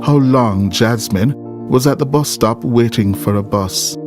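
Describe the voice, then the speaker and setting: A man's voice: mid-range voice, measured pace. A man with a Jamaican accent reading scripted narration as a reporter for a news documentary. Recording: music bed behind the voice. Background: background music.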